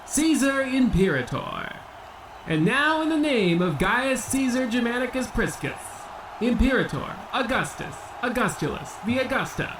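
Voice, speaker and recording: A man's voice: high voice, noisy background. A man playing a grandiose boxing ring announcer obsessed with ancient Rome, in an old-time radio comedy style. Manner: grandiose, theatrical showman delivery.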